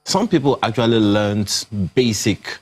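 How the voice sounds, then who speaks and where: Deep husky male TV host. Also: expressive, darkish tone, soft background music. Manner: measured, poses questions to guests. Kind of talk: TV talk show interview. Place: TV studio.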